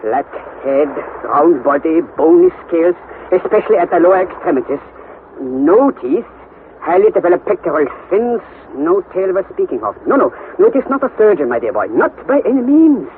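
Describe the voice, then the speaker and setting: An actor playing an elderly, pedantic professor uncle in a vintage radio drama, excitable and impatient, exclaiming and repeating words for emphasis. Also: male voice, sits high, normal speed. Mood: excited wonder. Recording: tinny, band-limited vintage radio, faint noise.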